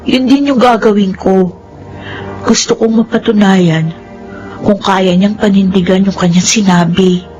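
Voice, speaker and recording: A woman acting a gentle, trusting young woman in a Filipino radio drama, with measured, pleading phrasing. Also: mid-range voice, steady tone, dull audio, close to an everyday voice. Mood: reflective, hopeful. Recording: radio drama with background music.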